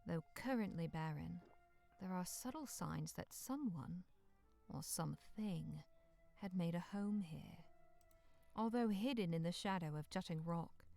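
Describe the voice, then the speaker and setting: A woman's voice: fairly low pitch, expressive, a little slow, gravelly, darkish tone, faint music behind. A woman narrating a fantasy visual novel game in a solemn, literary manner as its storyteller.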